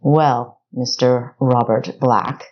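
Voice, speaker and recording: A woman voicing a cold, impatient dungeon keeper, a menacing man with a measured, commanding, formal manner in a storybook. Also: extremely low pitch, steady tone, dull audio, exaggerated voice.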